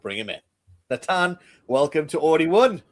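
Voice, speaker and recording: A man's voice: fairly high pitch, very animated, normal speed. A man hosting a podcast, welcoming a guest with enthusiastic, punchy remarks. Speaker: podcast host.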